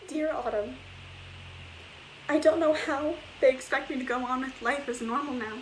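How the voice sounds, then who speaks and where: A woman's voice: sits high, moderate pace, a little hiss or hum, natural voice, poor audio. A young woman playing a self-centred teenage girl who makes a show of grief, melodramatic, in an amateur drama. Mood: showy sorrow. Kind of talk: amateur stage drama monologue.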